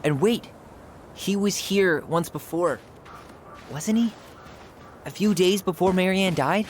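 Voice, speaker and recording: Young American male, video game drama. Guarded, casual, halting delivery over clear ambient noise. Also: high-pitched. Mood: agitated, realising. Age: young adult. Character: young man, guarded, searching his troubled childhood memories.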